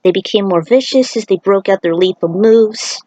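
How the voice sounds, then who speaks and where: A woman's voice: medium pitch, normal speed, mellow, no room sound. A woman reading an action story aloud as a narrator, with casual asides. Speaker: fan fiction author reading aloud.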